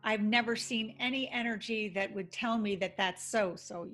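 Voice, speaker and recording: A woman's voice: mid-range voice, moderate pace, fairly bright tone, soft background music. A woman hosting an interview podcast, asking questions in an affirming, encouraging way. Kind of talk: interview, casual chat.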